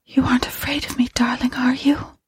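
A woman voicing a dead wife's ghost in a gothic story, weary, tender and pleading, with a faint, hollow, breathy voice. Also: high voice, flat delivery, fairly quick, no room sound, pitched above the performer's own voice.

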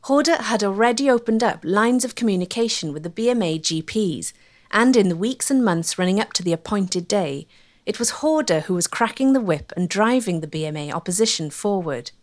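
A woman giving measured, scripted narration for a history documentary. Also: mid-range voice, lively intonation. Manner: measured, articulate.

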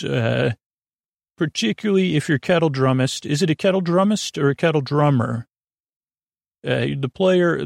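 A man hosting a sleep podcast in a casual, meandering monologue, halting and repeating himself as he drifts into tangents. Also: medium pitch, creaky, a little mellow, no echo, clean studio sound. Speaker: podcast host.

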